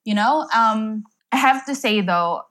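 A woman from the Philippines, a writer whose first language is Filipino, speaking English in a relaxed interview with many pauses and soft laughs. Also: on the high side. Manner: thoughtful, many ums, laughs often. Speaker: guest writer. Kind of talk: casual interview chat.

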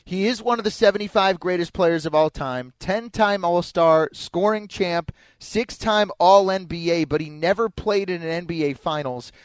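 A man's voice: high voice, normal speed, hardly any pauses, smooth, dry recording. A man with an American accent hosting sports talk radio with chatty banter.

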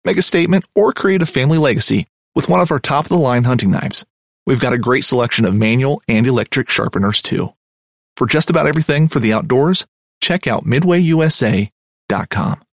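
A man's voice: mid-range voice, expressive, brisk, creaky, dry recording, studio quality. A man reading a scripted ad in a punchy, list-like delivery. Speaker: ad announcer. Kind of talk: scripted ad read.

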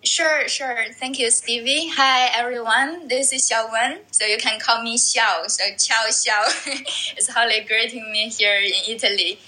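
A woman's voice: fairly high pitch, steady tone, unhurried, bright, forceful tone, low-quality recording. A woman introducing herself cheerfully on an online audio chat.

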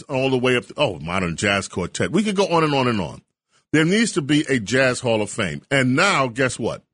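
Enthusiastic talk radio host, dry studio. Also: male voice, mid-range voice, a bit bright, no echo. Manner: enthusiastic, rambling tangents. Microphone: studio microphone.

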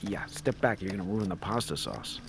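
A man's voice: on the low side, expressive, normal speed, creaky, background noise. An actor playing an easygoing, teasing father with a wry manner in an audio drama. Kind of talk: naturalistic audio drama dialogue.

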